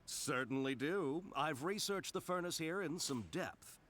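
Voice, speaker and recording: An actor voicing an affable, theatrical engineer in a video game, chatty and jaunty with a touch of pomp. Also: male voice, on the high side, lively intonation, measured pace, a bit bright, a little hiss or hum. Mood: jovial, self-assured. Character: affable, theatrical foreign engineer eager to share knowledge.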